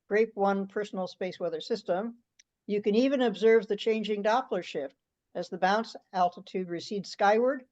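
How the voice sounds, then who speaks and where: A woman speaks at a medium pitch in an online meeting, presenting and discussing written material. The sound is a little dull, as on a conference call. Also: a little mellow. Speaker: meeting participant presenting a draft letter. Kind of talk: reading a draft aloud and discussing it in an online meeting. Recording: slightly dull video call audio.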